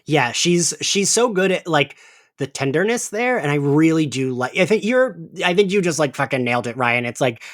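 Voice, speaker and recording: A man's voice: a little high, expressive, moderate pace, no echo, clean studio sound. A man co-hosting a casual fan podcast, enthusiastic and rambling, swearing freely. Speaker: podcast co-host.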